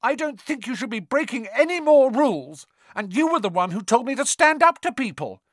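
A man's voice: very high-pitched, sing-song, a little fast, no echo, studio quality, voice pushed up, exaggerated voice. A man voicing a shaky, stubborn schoolboy. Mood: defiant. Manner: strained, shaky, insistent. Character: nervous, stubborn schoolboy working up courage.

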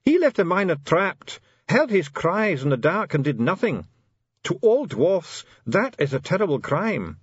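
A man's voice: high voice, big pitch swings, measured pace, breathy edge, no room sound, muffled recording, voice pushed up, exaggerated voice. A man voicing a solemn, dignified male dwarf elder who talks in a grave, formal way.

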